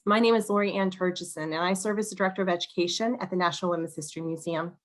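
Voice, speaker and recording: A woman with a slightly crackly, hoarse voice hosting an online museum program, reading a welcome and introducing a guest, often clearing her throat and restarting words. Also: on the low side, steady tone, racing. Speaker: museum education director hosting a webinar. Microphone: video call.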